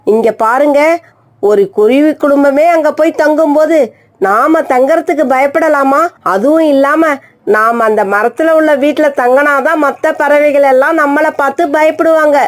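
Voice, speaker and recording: A woman's voice: high-pitched, moderate pace, lightly acted. A woman voicing a greedy, scheming female crow in a cartoon, wheedling and pushy. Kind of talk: animated cartoon voice acting.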